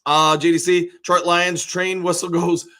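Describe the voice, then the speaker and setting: An American man with a slightly hoarse voice, chatting in a rambling, conversational way as he hosts a casual live sports fan show. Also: high voice, level tone, normal speed, bright, forceful tone. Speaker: sports show host, basketball coach and realtor.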